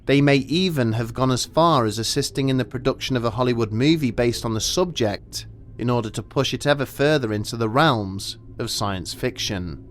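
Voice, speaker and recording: Male documentary narrator over noisy ambience. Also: medium pitch. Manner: measured, grave, formal delivery. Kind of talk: scripted documentary storytelling narration.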